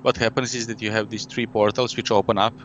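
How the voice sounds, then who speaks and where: A man with a lowish voice explains his board game design at length, leaning on "you know" and small fillers. French is the language he grew up on. The audio is dull and lo-fi, with a faint hiss underneath. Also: flat delivery, quick pace, a bit bright. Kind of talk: casual interview conversation.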